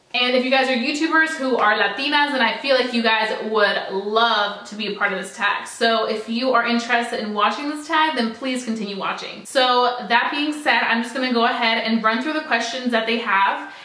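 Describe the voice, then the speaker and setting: A young woman talks straight to camera in a relaxed, upbeat vlog style. Her voice is bright and a little high, and it rings in an echoey room. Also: fairly quick, few pauses. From United States. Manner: chatty and upbeat, heavy use of "like" and "so". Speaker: beauty vlogger. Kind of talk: casual vlog talk to camera.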